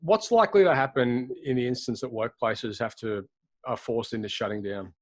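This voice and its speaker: A man's voice: medium pitch, moderate pace. A man hosting a podcast panel, asking curious, rambling questions full of fillers. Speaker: podcast host. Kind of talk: casual panel discussion.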